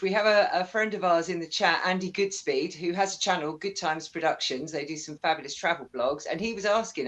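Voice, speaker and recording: A woman hosting a casual live-stream interview, bubbly and quick to laugh. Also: fairly low pitch, a bit bright. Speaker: host.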